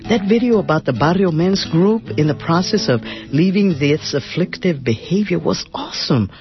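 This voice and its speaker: A man's voice: high-pitched, lively intonation, moderate pace, music in the background. A man in a scripted radio drama, speaking in an earnest, emphatic way with frequent fillers. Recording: dry, dull lo-fi radio recording.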